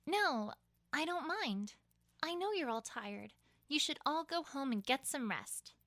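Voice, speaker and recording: A woman's voice: high-pitched, expressive, a little slow, fairly bright tone, dry recording, studio quality, heavily acted. A woman voicing an earnest, courteous, weary young unicorn mare, sincere and polite in a cartoon-style audio drama. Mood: grateful concern.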